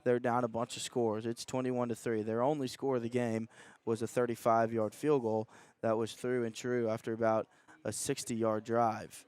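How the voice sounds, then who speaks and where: Clear, even-pitched man calling sports. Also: normal speed. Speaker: play-by-play radio announcer. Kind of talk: live sports commentary.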